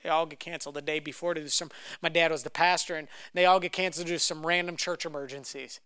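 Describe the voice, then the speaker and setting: Young male preacher, Romanian first language, high bright voice, clear and dry, fervent, stutter-starts. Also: fairly even intonation, fast, no echo. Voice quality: repeats words when restarting. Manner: builds to loud fervent preaching. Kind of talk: sermon with personal story.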